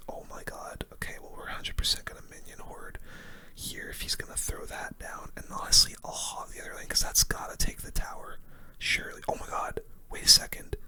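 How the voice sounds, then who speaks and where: ASMR game commentator, full breathy whisper, bright sibilant tone, casual rambling delivery, close-miked. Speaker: gaming video host.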